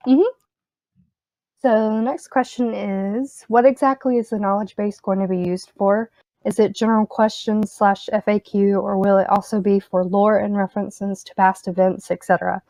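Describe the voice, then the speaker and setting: A woman's voice: mid-range voice, fairly even intonation, moderate pace, mellow, no echo. A woman on community staff, reading out and answering questions casually, joking between answers. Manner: casual, joking, with light laughs.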